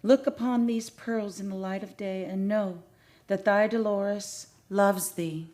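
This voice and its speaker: A woman's voice: medium pitch, fairly even intonation, measured pace, noisy background, natural voice. A woman playing a tender, lovelorn ghost in a radio play, speaking in solemn, archaic, incantatory phrases. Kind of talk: old-time radio play acting. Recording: music under the voice.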